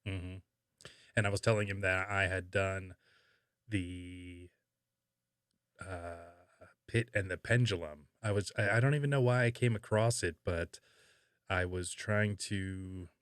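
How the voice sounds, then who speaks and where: A man with a low voice talks casually as a podcast host. He pauses and gropes for words, thinking aloud, in a close, dry recording. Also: a bit bright, clean tone, no echo. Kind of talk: casual conversational podcast talk.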